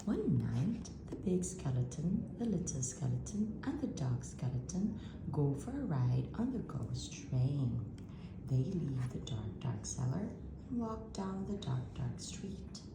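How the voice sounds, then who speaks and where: A woman reads a children's story in a very deep, creaky voice with lively swings of intonation. She stretches out her phrases in a hushed, spooky way. The recording is echoey, with heavy noise behind her. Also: a little slow. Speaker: storytime reader. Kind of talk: expressive picture-book read-aloud for children. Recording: roomy echo, loud background noise.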